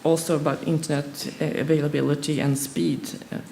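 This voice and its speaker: A woman's voice: sits low, little pitch movement, creaky, warm tone, a little hiss or hum. A woman from Sweden, speaking English as a second language, giving a conference presentation with many hesitations and restarts. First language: not English. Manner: halting, many fillers and restarts.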